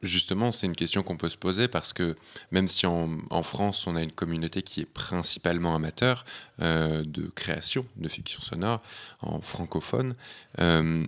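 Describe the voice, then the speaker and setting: A man hosting a podcast, putting questions to his guests in a measured, conversational way. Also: deep voice, a bit bright. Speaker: podcast host. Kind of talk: conversational interviewing.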